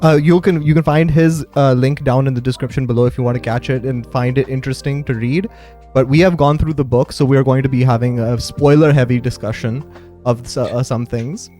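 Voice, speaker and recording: A man's voice: mid-range voice, fairly even intonation, fairly quick, warm tone. A male podcast host speaks in a mid-range voice, informal and wordy. His sentences ramble a little as he leads a casual interview, with faint music underneath.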